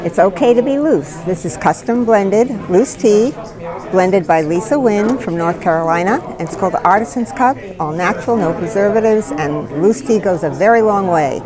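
A woman at a middle pitch runs through a brisk sales pitch for her goods. The loud hum of a market crowd sits behind her voice. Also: lively intonation. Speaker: tea vendor.

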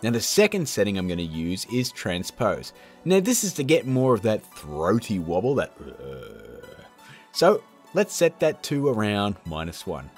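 A man's voice: lowish voice, wildly swooping pitch, unhurried, faint music behind. A man in his thirties presenting a casual tutorial in an informal, jokey, chatty manner. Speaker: host of an effects tutorial channel.

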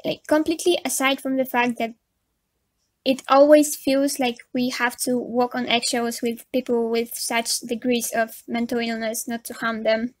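A woman's voice: on the high side, flat delivery, moderate pace, no echo. A young woman with a Polish accent giving frank, chatty commentary over a drawing video, with nervous laughs and many fillers. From Poland. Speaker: commentary YouTuber.

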